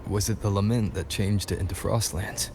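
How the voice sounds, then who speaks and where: A man's voice: fairly low pitch, steady tone, moderate pace, noisy background, close to an everyday voice. A man playing a reserved, curious traveling hero in a video game, speaking briefly and with understatement. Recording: background music under voice. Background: music.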